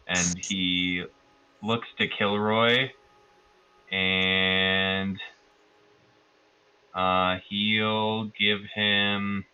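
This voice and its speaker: A man's voice: low voice, little pitch movement, very slow, pauses often, pushed and bright, smooth, muffled recording. A man playing a tabletop game, casually improvising his character's actions and groping for words. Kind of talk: casual improvised roleplay narration.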